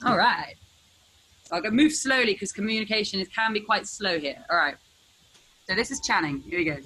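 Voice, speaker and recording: Breathy woman leading a tour. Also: mid-range voice, fairly quick, sharp tone, low-quality recording. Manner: light, encouraging interjections. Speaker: presenter giving a tour. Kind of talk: friendly guided tour. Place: operations room on a ship.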